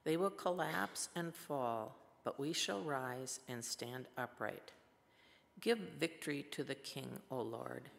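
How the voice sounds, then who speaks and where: An older woman reading scripture aloud at a church pulpit, steady and measured. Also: very deep voice, vocal fry. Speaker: scripture reader. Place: church sanctuary at wooden pulpit. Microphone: gooseneck pulpit mic. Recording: long hall reverb.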